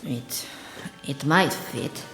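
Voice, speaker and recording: A woman's voice: rumbling low, very slow, fairly bright tone, heavily acted. An actress playing a wary, bitter, proud woman soldier, muttering her suspicious thoughts to herself in a radio drama. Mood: hesitant, self-conscious. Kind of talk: radio drama acting, inner monologue. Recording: very echoey hall reverb, music underneath.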